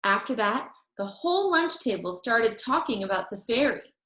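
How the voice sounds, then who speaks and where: A woman reading a children's chapter book aloud in an expressive, deliberate storytelling manner. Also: on the high side. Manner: animated, deliberate storytelling with drawn-out phrases. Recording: roomy, echoey sound.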